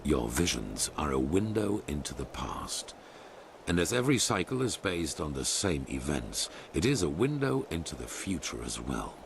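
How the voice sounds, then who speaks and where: An actor playing a solemn, imperious older leader of a religious order in a fantasy game, speaking in a measured, formal way. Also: male voice, very deep voice, sing-song, gravelly, noisy background, full character voice. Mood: solemn, persuasive. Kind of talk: scripted fantasy video game dialogue. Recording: loud music behind.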